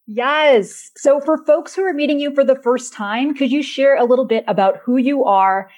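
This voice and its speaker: A woman's voice: sits high, moderate pace, darkish tone. A woman hosting a leadership podcast, giving an encouraging intro and then asking questions. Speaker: podcast host.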